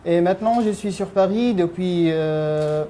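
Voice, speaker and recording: A woman in her thirties who is a recent arrival. She introduces herself slowly and hesitantly, with fillers. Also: on the low side, mellow, a little hiss or hum. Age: 30s.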